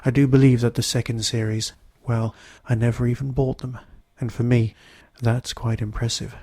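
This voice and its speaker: Male podcast reviewer, solo. Hoarse and husky with a throat infection, dark-toned delivery, dry sound. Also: mid-range voice, level tone, moderate pace, dry recording. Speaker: podcast host. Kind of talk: solo review talk to listeners.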